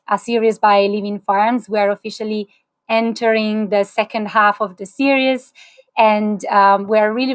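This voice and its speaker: A woman's voice: mid-range voice, no room sound. A woman in her thirties hosting an online series on a video call, upbeat and chatty with small restarts. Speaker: host. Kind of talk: livestream webinar hosting. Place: small indoor room, plain wall.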